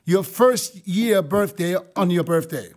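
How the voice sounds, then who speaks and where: A middle-aged man talking over a video call with a headset mic. His voice sits a bit high for a man. He presses his point over and over in loose, friendly chat. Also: lively intonation, unhurried. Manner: insistent, repeats himself while explaining. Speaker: podcast host.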